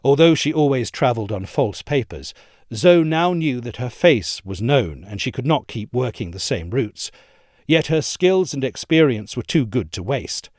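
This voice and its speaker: A man giving a measured, articulate scripted narration of a history essay. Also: mid-range voice, big pitch swings, darkish tone, no echo, clean studio sound. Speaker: essay reader.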